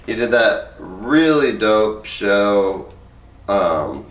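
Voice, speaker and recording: A man's voice: on the low side, sing-song. A man reading aloud and commenting casually, with an informal way of talking. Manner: informal, rambling, halting when speaking off the cuff. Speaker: host reading book excerpts aloud and commenting. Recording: roomy echo, distant from the mic.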